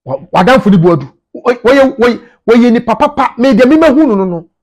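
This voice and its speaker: Ghanaian guy talking to camera, pretty high raspy voice, keeps repeating stuff to make a point. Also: expressive, fairly quick, darkish tone. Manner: emphatic, repeats phrases for emphasis. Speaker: media commentator. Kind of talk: informal commentary to camera. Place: small indoor office.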